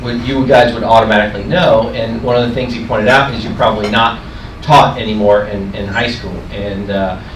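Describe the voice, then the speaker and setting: A man's voice: on the low side, fairly even intonation, moderate pace. A man in his forties addressing a community meeting in a plainspoken, hesitant way. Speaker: meeting attendee. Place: diner dining room. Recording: roomy, distant, noisy diner.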